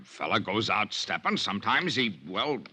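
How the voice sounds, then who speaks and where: An actor playing a sheepish, pleading American tourist salesman who stammers excitably in a vintage radio drama. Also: fairly low pitch, normal speed, husky, heavily acted. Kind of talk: 1950s radio drama acting. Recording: dull vintage radio recording.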